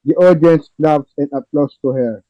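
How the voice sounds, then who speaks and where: Very mellow, higher-pitched man reading stage directions. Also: normal speed, no room sound. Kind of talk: amateur table read narration. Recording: dull lo-fi, dry close sound.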